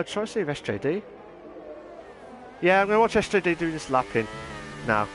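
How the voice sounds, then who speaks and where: A man commentating a sim racing league, reading chat aloud and breaking into excited shouting. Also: medium pitch, background noise. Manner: bursts into excited shouting over laps; reads chat messages aloud, chatty. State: nearly losing his voice from shouting.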